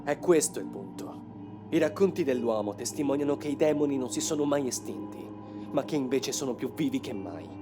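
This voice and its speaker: A man's voice: medium pitch, animated, moderate pace, close to an everyday voice. A man voicing a grave, determined young man in a motion comic dub, with a solemn, explanatory delivery. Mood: grave, ominous. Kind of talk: dramatic motion comic dubbing. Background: music.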